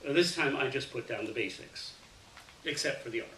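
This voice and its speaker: A man reading a first-person short story aloud in an expressive, dramatic way. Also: mid-range voice, moderate pace. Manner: dramatic, animated delivery with acted dialogue. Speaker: reader of a short story to an audience. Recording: roomy, distant mic, faint noise.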